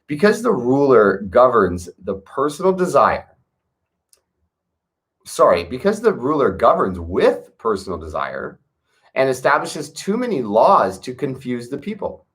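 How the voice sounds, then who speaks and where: A man reads an ancient text at an unhurried pace, letting each phrase settle before the next. His pitch rises and falls freely as he goes, and he slips easily from reading into relaxed asides. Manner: measured, pausing, adds casual commentary. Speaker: reader of a classic text. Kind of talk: slow reflective reading with asides.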